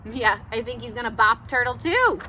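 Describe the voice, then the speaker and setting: Bright high-pitched lady teacher talking to little kids, encouraging, asks questions, noisy home recording. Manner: animated, encouraging, asks questions and pauses for answers. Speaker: teacher reading aloud to children. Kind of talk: interactive children's read-aloud, talking to young viewers.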